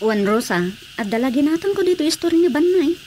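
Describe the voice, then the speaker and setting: An exasperated village wife in an Ilocano radio drama. Her high voice grumbles and swings widely in pitch, with an Ilocano accent and a little background noise. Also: very animated, fast talker, warm tone. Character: exasperated wife of a stubborn, ailing village councilor. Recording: faintly noisy radio drama track.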